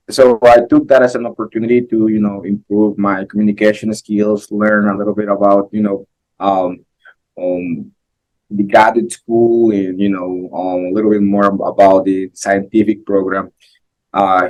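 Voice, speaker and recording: A man's voice: on the low side, level tone, normal speed, darkish tone, rough recording. A man from Guatemala, an assistant professor, answering interview questions casually with many fillers and restarts.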